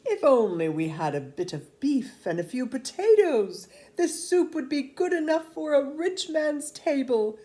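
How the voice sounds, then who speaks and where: A woman's voice: sits high, very animated, measured pace, hardly any pauses, warm tone, voice pushed up. Woman reading as sly soldiers, swooping and theatrical. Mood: sly, wistful. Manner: theatrical, sighing hints. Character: wily soldiers, men, sighing and slyly boastful. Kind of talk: children's storybook read-aloud.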